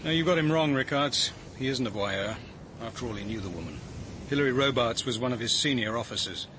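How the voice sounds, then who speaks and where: A man's voice: mid-range voice, expressive, a little fast, background noise, lightly acted. An actor playing a composed, thoughtful male detective in a radio drama, articulate and precise in his speech. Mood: composed, reasoning. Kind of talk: acted British radio drama dialogue.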